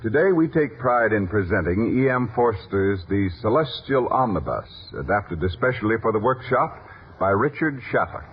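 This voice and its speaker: A man's voice: on the low side, sing-song, moderate pace. A man announcing and narrating a scripted radio drama in a 1950s style. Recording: dull lo-fi 1950s radio recording. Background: music.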